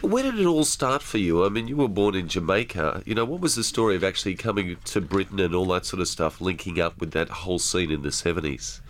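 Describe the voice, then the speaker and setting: A man's voice: deep voice, expressive, fast talker, hardly any pauses, a little hiss or hum. A man hosting a radio interview in a jokey, effusive way. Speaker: radio host.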